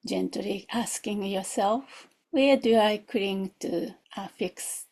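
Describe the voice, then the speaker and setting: Female meditation teacher. Slow, reflective, questioning delivery in short phrases; soft-edged, mellow tone with a creaky grain. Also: mid-range voice. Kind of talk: guided meditation, gentle instruction.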